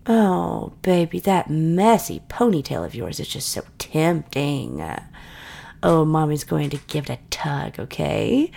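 A woman in an intimate audio roleplay, speaking softly and coaxingly, often dropping into whispers between breathy sighs and murmurs. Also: low-pitched, animated, unhurried. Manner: soft, coaxing and doting, slipping into whispers.

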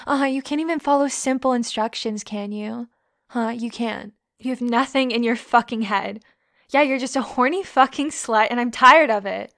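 Woman voicing a bullying young college woman, taunting, contemptuous, gravelly fry, a bit high, swears a lot. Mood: furious. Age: young adult. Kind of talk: audio roleplay.

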